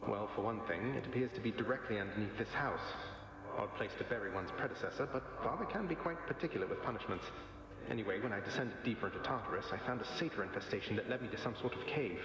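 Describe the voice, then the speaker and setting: A man's voice: fairly low pitch, quick pace, natural voice. A man playing a cocky young underworld prince in a video game, with a dry, sardonic, casually eloquent delivery. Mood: wry. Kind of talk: video game drama acting. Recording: echoey hall with long reverb.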